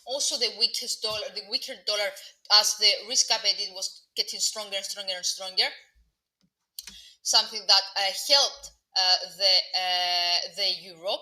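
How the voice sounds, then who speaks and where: A young Greek Cypriot woman walks viewers through live market commentary in a very bright, crisp-toned voice. She hesitates often, filling gaps with ums and restarting phrases, and breaks off to address the audience directly. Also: mid-range voice, normal speed, rough recording. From Cyprus. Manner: hesitant, many fillers and restarts, chatty asides to viewers. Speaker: market analyst presenting a live webinar.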